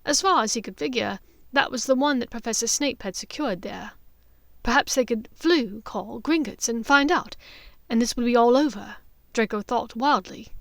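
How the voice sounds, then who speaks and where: A woman's voice: fairly high pitch, moderate pace, no echo. A woman reading dramatic fiction as an audiobook narrator.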